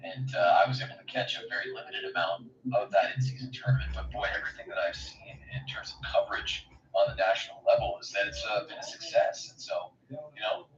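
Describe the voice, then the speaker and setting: A man with a slightly raspy voice giving relaxed hockey color commentary from his couch, hesitating often. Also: lowish voice. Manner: hesitant, many uh fillers, laid-back. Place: home, on a couch. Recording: distant lo-fi roomy mic with faint hiss.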